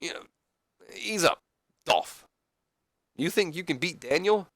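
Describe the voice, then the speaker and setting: A man voicing an excitable older wrestling emcee who placates rivals, halting and repeating his words. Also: fairly high pitch, gravelly, dry recording, clean studio sound, pitched above the performer's own voice, heavily acted. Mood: placating.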